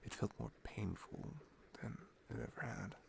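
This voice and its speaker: Casting: man with a low, gravelly voice as a tender, sincere boyfriend in intimate ASMR, soft and hesitant, faint noise behind. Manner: soft, hesitant, tender, pausing between phrases. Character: affectionate, sincere, reflective boyfriend. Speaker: audio roleplay performer playing a boyfriend.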